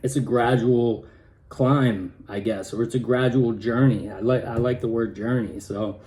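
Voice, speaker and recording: A man talks at an unhurried, slow pace in a casual personal vlog, and his voice sounds slightly raspy and hoarse. His tone is mellow and his delivery is halting, with frequent restarts. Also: mid-range voice, fairly even intonation. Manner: halting, many restarts and fillers. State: hoarse from pollen. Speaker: vlogger.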